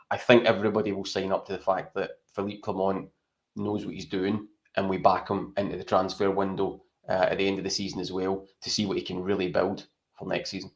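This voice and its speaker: A Scottish man talking football on a podcast in a chatty, rambling way, often tagging his points with "right?". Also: deep voice. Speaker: podcast co-host. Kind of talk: casual football chat.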